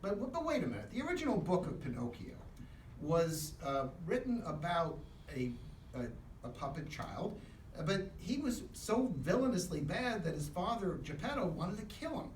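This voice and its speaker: A man playing straight man to a puppet in a live comic dialogue, questioning haltingly, recorded in an echoey classroom. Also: high voice, darkish tone. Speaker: Sunday school teacher, straight man to a puppet. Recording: echoey room, distant mic, faint noise.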